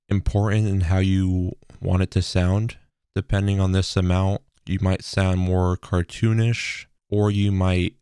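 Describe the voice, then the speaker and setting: Low-pitched man with a smooth, mellow delivery. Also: no echo, studio quality. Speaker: tutorial host. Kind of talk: explanatory software tutorial narration.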